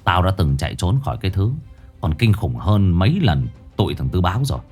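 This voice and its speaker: Man playing an exasperated, grumbling young man, very animated. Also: sits low, brisk, husky, soft background music, heavily acted. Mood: gruff grumbling. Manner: blunt, scolding, colloquial.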